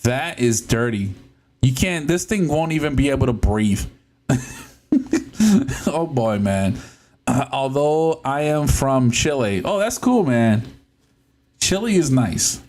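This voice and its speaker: A young man from Puerto Rico whose first language is Spanish talks at an easy, unhurried pace. He chats on a live stream, laughing often and stumbling over the odd word, with a voice of ordinary pitch. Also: animated, a little mellow. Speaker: livestream host. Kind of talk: casual live-stream chat while repairing a console.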